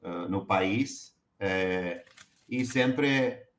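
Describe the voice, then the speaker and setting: A man's voice: deep voice, very slow, roomy sound, slight background noise. A middle-aged man from Argentina with an Argentine Spanish accent, answering interview questions with many fillers and restarts. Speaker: guest, HR director.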